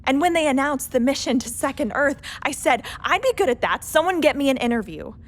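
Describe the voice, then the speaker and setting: An actress playing a wry, world-weary space pilot in a radio play, speaking in a measured, confessional monologue. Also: female voice, high voice, lively intonation. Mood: wry, breezy.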